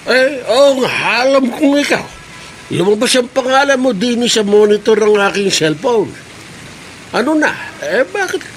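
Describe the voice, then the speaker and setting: A man's voice: extremely high pitch, lively intonation, measured pace, a little hiss or hum, low-quality recording. A Filipino man playing a folksy, grumbling elderly father who mutters and interjects in a radio drama. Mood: animated, agreeable.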